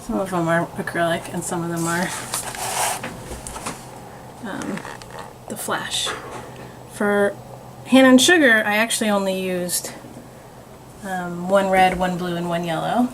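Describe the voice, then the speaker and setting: A woman illustrator talking through a painting demonstration in her studio, thinking aloud and murmuring softly as she works. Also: on the low side, unhurried, long pauses, noisy background. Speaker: author and illustrator.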